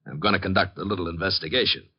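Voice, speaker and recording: An actor playing a washed-up, jittery ex-athlete turned private eye in a 1950s radio drama, with slangy, defensive bluster. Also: male voice, deep voice, fairly even intonation, rapid-fire, husky. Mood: boastful bluster. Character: washed-up, jittery ex-football-star private eye, boastful and desperate. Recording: muffled, tinny old radio broadcast.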